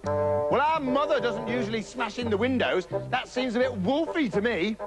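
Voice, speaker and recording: Man playing a high-voiced cheeky little goat kid. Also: animated, moderate pace, over music. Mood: wary. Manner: plain-spoken, cheeky, defiant. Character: young, clever, wary male goat kid.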